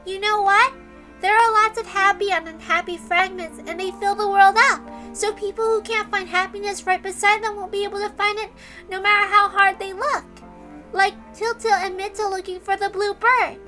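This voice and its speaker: A woman voicing a serene, earnest nine-year-old girl who lectures gently and stays unshakably optimistic, in a dramatic story reading. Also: very high-pitched, steady tone, faint music behind, pitched above the performer's own voice. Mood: serene, preachy. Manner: measured, reasoning, gently lecturing. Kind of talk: dramatic reading of a visual novel.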